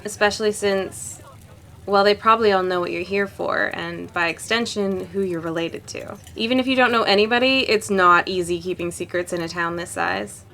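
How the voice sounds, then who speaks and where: A woman's voice: mid-range voice, steady tone, moderate pace, fairly bright tone, lightly acted. A woman voicing a friendly, chatty, playful young woman from a small town in a visual novel fan dub. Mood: friendly, upbeat.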